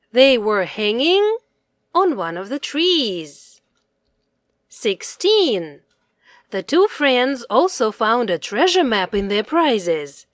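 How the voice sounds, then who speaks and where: A woman presenting a quiz for young children, asking questions and answering them in a deliberate, carefully enunciated, teacherly manner. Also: on the high side, dry recording, clean studio sound.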